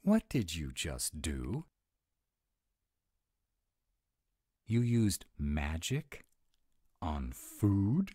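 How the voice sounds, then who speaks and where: A man's voice: fairly low pitch, mellow, husky, dry recording, studio quality, exaggerated voice. A man playing a grumpy, fussy, easily flustered were-dragon who loves food, in a dramatic, exasperated roleplay audio. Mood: flustered outrage. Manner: exasperated, dramatic, slow and emphatic. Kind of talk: one-sided romantic comedy roleplay audio.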